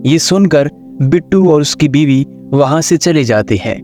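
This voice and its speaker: A man's voice: a little high, lively intonation, measured pace, a little mellow. A man narrating a scripted children's cartoon story. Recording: faint music under the voice.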